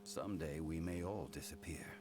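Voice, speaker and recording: An actor playing a solemn, composed ancient god in human form in a video game, speaking in a measured, formal, contemplative way. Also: male voice, a bass voice, noisy background. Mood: solemn, reflective. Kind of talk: scripted video game dialogue acting.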